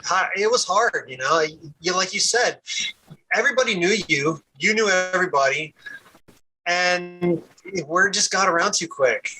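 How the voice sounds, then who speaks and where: Bright, high-voiced man reminiscing casually. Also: expressive, unhurried, poor audio. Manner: rambling, repeats words, laughs easily. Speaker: podcast guest. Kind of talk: casual conversation.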